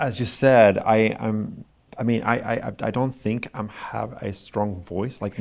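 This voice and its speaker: A male artist giving thoughtful interview answers, pausing often to search for the right words. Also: lowish voice, creaky.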